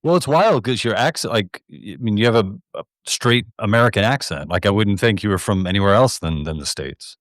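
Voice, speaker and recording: A man with a lowish voice hosts a podcast and chats in a loose, irreverent way with a joking edge. The sound is close and dry. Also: expressive, a little fast, hardly any pauses, a bit bright, no room sound. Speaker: podcast host. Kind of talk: casual chat.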